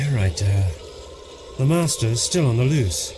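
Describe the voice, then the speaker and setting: An actor playing an earnest, commanding time-traveler hero in an audio drama, authoritative and excitable. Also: male voice, mid-range voice, a little slow, background noise, close to an everyday voice. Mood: grave.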